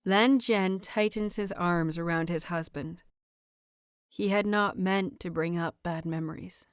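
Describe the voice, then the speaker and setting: A woman's voice: fairly low pitch, steady tone, measured pace, clean tone, no room sound, studio quality. A woman reading a scripted story aloud as the narrator of an audio fiction series.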